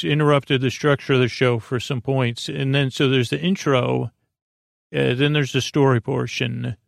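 A man with a creaky, gravelly voice hosts a bedtime podcast, rambling in a halting, meandering, whimsical way, repeating himself and trailing off. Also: mid-range voice, dry recording, studio quality. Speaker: host of a bedtime sleep podcast.

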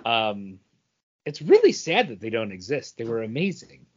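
A man's voice: mid-range voice, huge pitch swings, moderate pace, darkish tone, breathy edge. A man co-hosting a podcast in informal, casual conversation. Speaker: podcast co-host. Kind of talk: casual chat. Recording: slightly dull, lo-fi podcast audio.

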